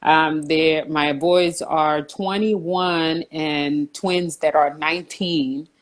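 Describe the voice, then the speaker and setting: Woman in her 40s, low voice, takes her time, earnest and measured, lots of ums. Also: steady tone. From New Jersey, USA. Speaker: guest counselor. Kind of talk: casual video chat interview.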